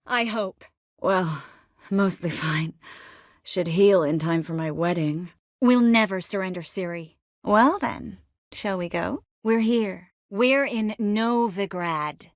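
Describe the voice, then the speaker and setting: An actress playing an earnest, caring young sorceress in a video game, expressive and by turns determined and wistful. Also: female voice, mid-range voice, takes it slowly, no echo, clean studio sound. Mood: calm, wistful. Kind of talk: video game character dialogue.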